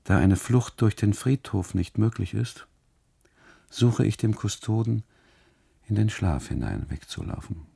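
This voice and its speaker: A man's voice: fairly low pitch, very animated, measured pace, gravelly, dark tone, husky. A man performing a first-person literary monologue for radio, with theatrical, emphatic phrasing.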